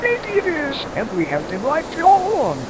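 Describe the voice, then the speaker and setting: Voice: sits high, huge pitch swings. A performer voicing a foul-mouthed, hot-tempered storm reporter in an amateur audio drama, taunting and melodramatic. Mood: indignant, accusing. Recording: loud rushing wind and surf noise.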